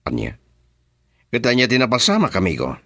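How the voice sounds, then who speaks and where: A man's voice: mid-range voice, wildly swooping pitch, a little fast, gravelly, bright, forceful tone, no echo, studio quality, exaggerated voice. An actor playing a bossy, impatient man of power in a radio drama, with an Ilocano Filipino accent. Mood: stern.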